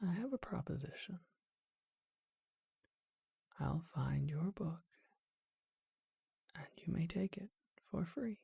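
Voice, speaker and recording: A performer voicing a charming, courteous, bookish vampire shopkeeper in a breathy, husky whisper, with genteel and hesitant phrasing, for an ASMR roleplay. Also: mid-range voice, lively intonation, slow, long pauses, warm tone, dry recording, clean studio sound, full character voice. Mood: coaxing, courteous. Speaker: bookshop owner.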